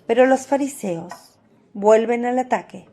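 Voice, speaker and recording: A woman narrating a scripted devotional radio drama in a measured storytelling manner. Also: fairly high pitch, warm tone.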